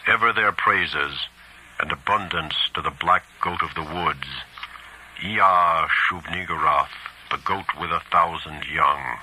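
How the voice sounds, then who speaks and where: A man's voice: deep voice, fairly even intonation, slow pace, pushed and bright, slight background noise, heavily acted, poor audio. A man playing an educated cultist, solemnly chanting reverent ritual praise in a horror story. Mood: reverent, exalted.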